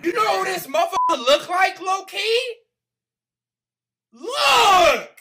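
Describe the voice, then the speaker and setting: A man's voice: very high-pitched, lively intonation, extremely slow, heavy background noise. A young American man giving casual, slangy reaction commentary, exclaiming often. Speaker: reaction video host. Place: small home room, indoors.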